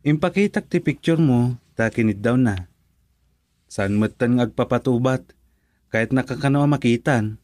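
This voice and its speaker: A man's voice: mid-range voice, moderate pace, pauses often, a little mellow, no room sound, close to an everyday voice. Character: man acting earnest young boyfriend. Sound: dry. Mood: earnest, persuading. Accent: Ilocano. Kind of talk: Ilocano romantic radio drama acting.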